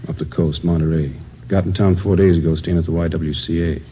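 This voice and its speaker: An actor playing a matter-of-fact police officer in a 1950s radio drama. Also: male voice, low-pitched, fairly even intonation, brisk, mellow, slight background noise, close to an everyday voice. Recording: dull old radio broadcast.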